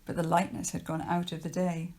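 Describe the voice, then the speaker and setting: A woman's voice: lowish voice, completely flat delivery, fairly quick, a little mellow. A woman, a teacher, reading a children's novel aloud as narrator. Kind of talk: storybook narration read aloud.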